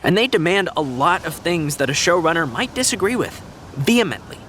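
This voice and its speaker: An actor playing an easygoing, diplomatic TV writer who explains things in a reassuring, conversational way, in an audio drama. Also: male voice, fairly high pitch, big pitch swings, a little fast, a bit bright, slight background noise. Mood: earnest explaining. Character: easygoing, diplomatic TV writer consoling a young fan. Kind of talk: naturalistic audio drama dialogue.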